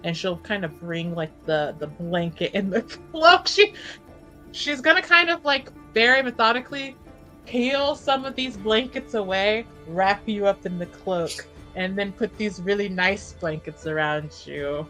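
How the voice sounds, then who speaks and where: A woman casually improvising her character's actions as a tabletop player, informal and halting. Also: medium pitch, lively intonation, pushed and bright, soft background music.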